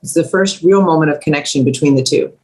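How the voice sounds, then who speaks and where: A woman's voice: sits low, fast, a little mellow, low-quality recording. A woman narrating scripted stage directions at a table read.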